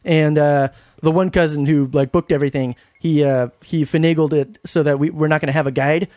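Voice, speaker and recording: A man in his thirties with a somewhat high voice co-hosts a comedy podcast. He rambles through stories in an easygoing way and chuckles often, recorded dry and close. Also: steady tone, a little fast, darkish tone, no room sound. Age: 30s. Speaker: podcast co-host. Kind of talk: casual comedy podcast chat.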